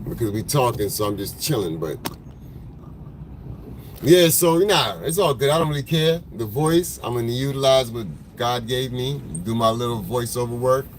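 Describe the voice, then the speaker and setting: A man's voice: mid-range voice, moderate pace. A man with a smoker's voice, chatting casually with viewers on a livestream from his car in traffic. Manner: laid-back, rambling, slangy, greets viewers by name. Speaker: photographer hosting a livestream. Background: faint vehicle noise.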